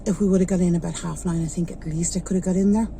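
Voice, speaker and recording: A woman's voice: lowish voice, steady tone, rapid-fire, mellow, slight background noise. An Irish woman in her forties, chatting to her vlog camera from her car, a bit stuffed up from a sore throat. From Ireland. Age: 40s. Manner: chatty, rambling, loses her thread. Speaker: vlogger. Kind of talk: casual vlog chat while driving. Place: inside a car in traffic.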